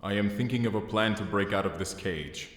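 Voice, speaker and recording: A man's voice: lowish voice, fairly quick, a bit bright, lightly acted. A man playing a blunt, feral superhuman mercenary in an audio drama, speaking stiffly and literally in terse phrases. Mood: stubborn, deadpan. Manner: stiff, literal, terse speech without contractions. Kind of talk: cinematic audio roleplay acting. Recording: echoey reverberant room.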